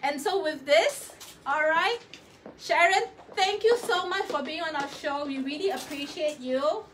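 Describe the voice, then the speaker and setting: Bright, high-voiced woman presenting live. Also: a little slow, slight background noise. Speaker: host of a fashion live show. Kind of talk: live sales presenting, chatty.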